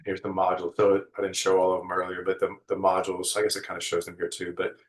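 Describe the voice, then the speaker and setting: A man leading a wellness group call, explaining course material informally in a halting, reflective and encouraging way. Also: deep voice, completely flat delivery.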